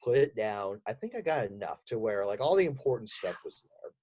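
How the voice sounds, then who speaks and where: Animated male storyteller, dull lo-fi audio. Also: on the low side, fairly quick, husky. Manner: animated, acts out quotes. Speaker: podcast guest, voice actor. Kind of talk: casual interview storytelling.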